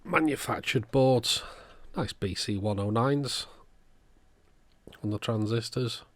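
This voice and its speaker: A man's voice: medium pitch, takes it slowly, no echo. A man giving a casual, matter-of-fact repair walkthrough, thinking aloud in an understated way. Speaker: electronics repairer presenting own channel. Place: indoors at a workbench.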